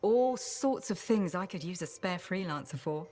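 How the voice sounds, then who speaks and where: An actress playing a sly fixer who trades favors and teases with a wry, drawling manner, in a game cutscene. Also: female voice, fairly low pitch, lively intonation, normal speed, warm tone, soft background music. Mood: teasing. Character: sly female fixer trading favors, teasing. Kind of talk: video game cutscene acting.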